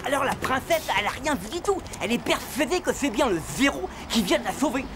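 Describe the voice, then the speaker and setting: A woman playing an excitable, easily aggrieved know-it-all schoolboy in a French children's cartoon, talking in emphatic slang. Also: high voice, fast talker. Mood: knowing, scornful. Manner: slangy, emphatic explaining and protesting. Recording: music behind the dialogue.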